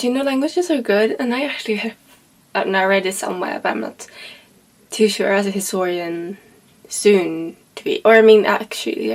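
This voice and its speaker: A young woman with a Swedish accent talks about a book she loves in a loose, rambling way, full of "like" and "you know". Her voice is gravelly with vocal fry and has a husky, breathy edge. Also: medium pitch. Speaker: book vlogger. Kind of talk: casual rambling book talk.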